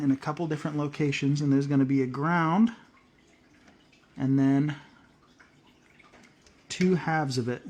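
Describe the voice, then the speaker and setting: A man giving a casual, hands-on tutorial at a workbench, talking in a loose, rambling way with plenty of "uh" and "um". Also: on the high side. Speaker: prepping channel host.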